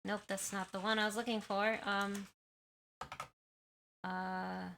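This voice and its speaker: A woman streams from a room at home, chatting easily with her viewers through a sensitive desktop mic. Her voice is bright and clear at a middling pitch. She rambles and keeps apologizing, and a little background noise comes through. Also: flat delivery, takes it slowly. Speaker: livestreamer. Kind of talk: casual chat with viewers. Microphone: desktop condenser mic at high sensitivity.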